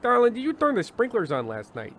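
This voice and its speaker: A man playing a world-weary, fretful television host with halting delivery in an old-time radio drama. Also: extremely high pitch, huge pitch swings, a bit bright, slight background noise, exaggerated voice. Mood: puzzled, subdued. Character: anxious, world-weary male TV show host.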